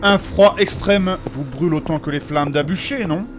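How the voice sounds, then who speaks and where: A prim, devout, cautious male mage acted by a man for a fantasy comedy audio drama. He speaks formally and fussily in a raised pitch. Music runs clearly beneath his earnest lines. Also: moderate pace, natural voice. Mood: insistent, explanatory. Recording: voice over prominent music bed.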